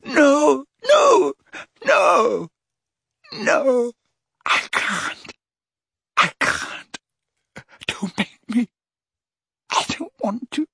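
A man voicing a tormented old wizard who begs and sobs in high, broken cries. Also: huge pitch swings, drawn-out words, vocal fry, pauses often, pushed and bright, husky, dry recording, exaggerated voice. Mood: anguished. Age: older.

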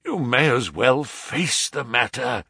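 A man voicing an anguished, outraged father, swooping pitch. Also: expressive, slow, creaky, pushed and bright, slightly rough, no room sound, studio quality, exaggerated voice. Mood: stern, accusing. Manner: formal, melodramatic Victorian phrasing. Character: anguished, outraged middle-aged father recounting his tale.

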